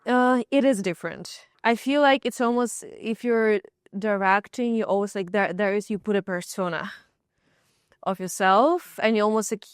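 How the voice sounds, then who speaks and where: Woman panelist, Ukrainian accent, smooth voice, dry close sound, searching phrasing. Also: medium pitch, moderate pace, dry recording. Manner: thoughtful, searching for words. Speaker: panelist, filmmaker and actor. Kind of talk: roundtable discussion.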